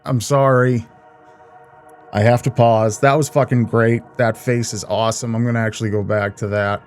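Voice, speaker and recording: A man with a medium-pitched voice hosts a reaction video. His delivery is informal and jokey, rambling like casual commentary, with light music in the background. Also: level tone, moderate pace.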